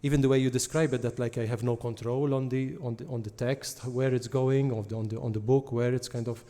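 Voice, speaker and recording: A man moderating a book launch interview, asking thoughtful, halting questions. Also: medium pitch, fairly even intonation, mellow.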